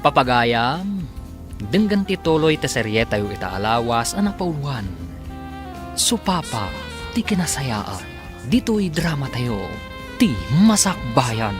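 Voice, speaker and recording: A man's voice: mid-range voice, very animated, slow. A man with a Filipino accent reading dramatic scripted narration as the announcer of a radio drama. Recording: music bed under radio narration.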